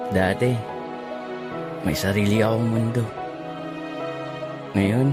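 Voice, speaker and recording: A man acting an earnest, devoted young suitor in a romantic audio drama, speaking sincerely and in measured phrases. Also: on the low side, close to an everyday voice.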